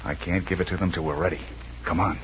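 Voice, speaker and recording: An actor playing a resolute, commanding jungle adventurer with terse delivery in a 1940s radio serial. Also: male voice, low voice, fairly even intonation, fast, close to an everyday voice. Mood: grim. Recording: noisy old radio recording.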